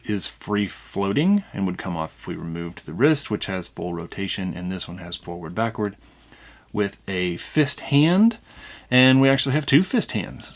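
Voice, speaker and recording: A man hosting a casual unboxing review, talking conversationally and thinking aloud as he examines things. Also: lowish voice. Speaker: toy review channel host.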